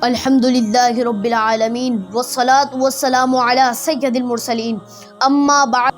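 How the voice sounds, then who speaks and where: A woman's voice: fairly high pitch, fairly even intonation, normal speed, faint music behind. A woman speaking as a religious preacher in a formal sermon style with recitation.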